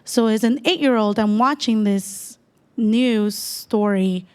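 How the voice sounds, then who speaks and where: A woman's voice: medium pitch, slow, clear, resonant tone. A woman in her twenties from El Salvador, a native Spanish speaker, tells an audience her life story in an earnest, reflective way with frequent ums. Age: 20s.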